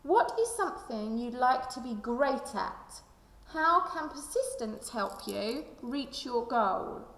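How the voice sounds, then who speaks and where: In a very reverberant room, a woman reads to children like a primary teacher. Her voice sits fairly high with a creaky edge, and she addresses her young listeners directly. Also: lively intonation, slow, fairly bright tone. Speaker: primary school teacher reading aloud to children. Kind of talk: expressive children's storybook read-aloud with reflective questions. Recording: echoey room with long reverb.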